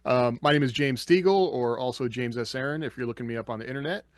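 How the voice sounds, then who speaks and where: Guy hosting a laid-back podcast chat, jokey, middling pitch, really dry sound. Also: quick pace, no room sound. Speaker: podcast host. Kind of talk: casual panel chat.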